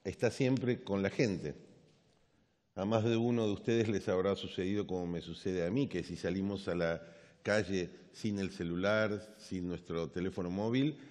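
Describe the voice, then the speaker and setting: A middle-aged man seated on a conference stage reads a formal introduction of the keynote speaker from his notes. His voice is low and mellow, and his measured delivery has a few small restarts. The big hall's long reverb hangs after his words. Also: steady tone. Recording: long reverb of a large hall.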